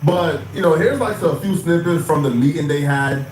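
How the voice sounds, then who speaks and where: Higher-voiced man recapping news, echoey. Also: normal speed. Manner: steady explanatory recounting with fillers. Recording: echoey room with faint noise.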